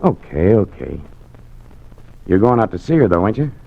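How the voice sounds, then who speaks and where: Sly crook, swinging, insinuating delivery. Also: male voice, fairly low pitch, big pitch swings, normal speed, gravelly, exaggerated voice. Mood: scheming. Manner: needling, insinuating, slangy. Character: sly, scheming male crook coaxing his partner. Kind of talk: 1940s radio acting. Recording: muffled old radio recording.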